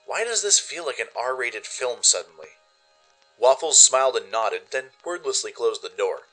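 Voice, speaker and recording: A man giving expressive, dramatic first-person narration for a radio play. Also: medium pitch, normal speed, soft background music, rough recording. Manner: expressive storytelling. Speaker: narrator and producer.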